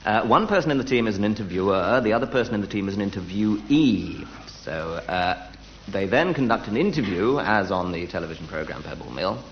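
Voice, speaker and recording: A man's voice: lowish voice, expressive, a little fast. A man on a radio comedy panel, explaining things in a mock-serious way. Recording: dull old radio recording with hiss.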